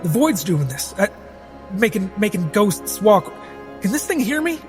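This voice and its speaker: A man playing an excitable, alarmed adventurer in a tabletop game, stammering and repeating words urgently. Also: high-pitched, a little slow, faint music behind. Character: excitable, alarmed male party member, jittery and blurting. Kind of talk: tabletop roleplay acting.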